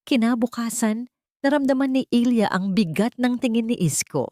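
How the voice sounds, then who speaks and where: A woman's voice: a little high, moderate pace, warm tone, no room sound, studio quality. A woman reading a scripted romantic drama as a story narrator.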